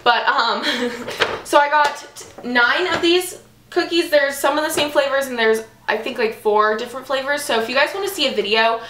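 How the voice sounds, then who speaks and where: A young woman chatting casually and upbeat as she shows her groceries, with lots of "like" and quick asides. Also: sits high, noisy background. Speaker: fitness vlogger. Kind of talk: casual grocery haul vlog. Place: small bedroom, indoors. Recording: echoey room.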